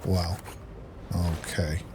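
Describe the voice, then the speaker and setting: Male gaming host, lowish voice, casual self-directed muttering while playing, clearly noisy recording with ambience behind. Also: flat delivery, extremely slow. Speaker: gaming let's-play host. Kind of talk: casual gameplay commentary.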